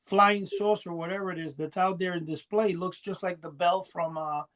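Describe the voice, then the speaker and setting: A man's voice: sits high, steady tone, moderate pace, crowd noise. A middle-aged or older man co-hosting a podcast, talking in a rambling, conversational way. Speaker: podcast co-host. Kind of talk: casual panel chat.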